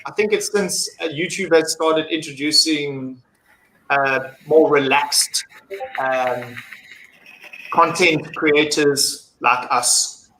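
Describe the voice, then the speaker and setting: South african guy on a live call, kinda high voice, rambly and chill, echoey room with a bit of hiss. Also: slow pace, sharp tone. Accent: English South African. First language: English. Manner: laid-back, rambling, swears casually. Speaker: guest. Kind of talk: casual chat on a live stream. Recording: roomy mic with faint noise.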